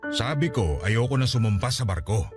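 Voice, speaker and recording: A man's voice: on the low side, animated, moderate pace, over music, close to an everyday voice. An actor playing a weary, fed-up seafaring father of fifty, exasperated and reproachful in a radio drama. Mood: exasperated, resigned. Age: 50s. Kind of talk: Tagalog radio drama acting.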